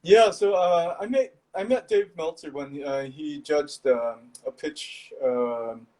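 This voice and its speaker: A man from Indonesia, a startup founder, explaining his business in a measured way over a video call, with some fillers. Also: a little high, a little mellow.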